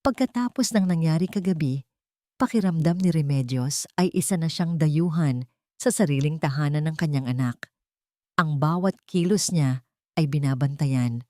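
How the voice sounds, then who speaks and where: Low-voiced woman narrating drama. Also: normal speed, darkish tone, dry recording, studio quality. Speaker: story narrator. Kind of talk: dramatic scripted audio story narration.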